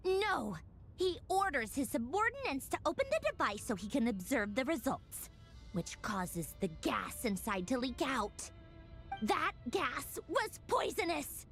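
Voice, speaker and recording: High-voiced, sharp-tongued villainous officer. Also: female voice, heavily acted. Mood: exasperated, indignant. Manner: sardonic, dramatic, emphatic. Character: sharp-tongued, exasperated female harbinger with long-held grudges. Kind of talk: anime video game dialogue.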